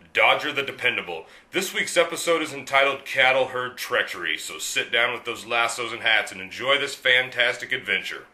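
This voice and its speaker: A man's voice: medium pitch, sharp tone. A man playing a grandiose narrator and announcer in a parody of old western radio serials.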